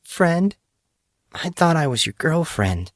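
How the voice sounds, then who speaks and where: A fragile, wry young girl, put on by a man reading a story, lifted well above his narrating pitch. The delivery is plaintive and hesitant, recorded very dry. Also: sing-song, slow, dry recording, heavily acted. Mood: wounded, teasing.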